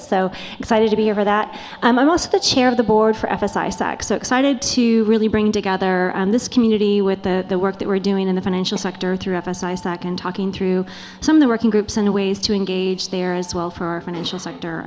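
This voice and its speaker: A woman introduces herself on a conference panel, talking fast and upbeat. Her voice carries a long hall reverb. Faint ambient room noise sits underneath. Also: mid-range voice, darkish tone. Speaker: panelist, bank security executive.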